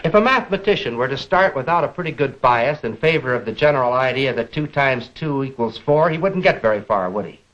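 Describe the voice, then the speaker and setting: A man's voice: medium pitch, a bit bright. American man lecturing, dull vintage film sound. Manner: measured, deliberate, rhetorical questioning. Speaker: science lecturer and film presenter. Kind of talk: 1950s educational film lecture.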